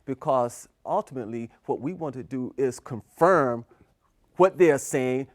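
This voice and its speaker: A man's voice: mid-range voice, measured pace, dry recording. A man with a mid-range voice, recorded dry and close with no room sound. He co-hosts a community talk show, affirming his partner often and addressing him as "brother" as he makes his points. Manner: affirming, frequent "mm-hmm" and "that's right", warm address as "brother". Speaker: co-host. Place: local TV studio.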